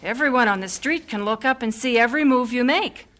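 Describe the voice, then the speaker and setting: An earnest, expressive young missionary wife in a radio drama, voiced by a woman. Her voice sits fairly high. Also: fairly quick, lightly acted. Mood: dismayed. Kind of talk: 1950s-style radio drama acting.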